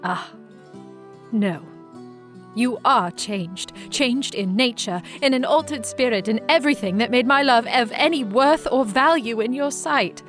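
A heartbroken but firm young sweetheart in a period audio drama. Her voice is on the high side, earnest and deliberate, with faint music. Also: animated, fairly bright tone. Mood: sorrowful, resolute. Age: young adult. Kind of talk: audio play acting.

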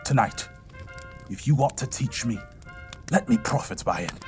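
An actor playing an excitable, haunted old miser in an audio drama, theatrical and halting, with a husky voice. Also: male voice, mid-range voice, soft background music, lightly acted. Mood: nervous deference. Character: miserly old man, excitable, haunted, humbled before spirits.

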